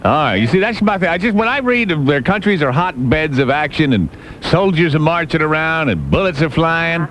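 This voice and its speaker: Animated, high-pitched man hosting radio banter. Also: brisk, slight background noise, poor audio. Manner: theatrical, colorful slangy phrasing. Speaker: radio show host. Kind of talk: comic radio banter with a caller. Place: radio studio.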